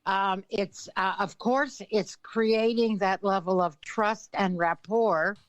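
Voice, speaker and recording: An older woman from Canada, an author and influence consultant, speaking as an interview guest in a thoughtful, filler-laden way with frequent throat clearing. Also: mid-range voice, fairly even intonation, slow pace, no room sound, dull audio.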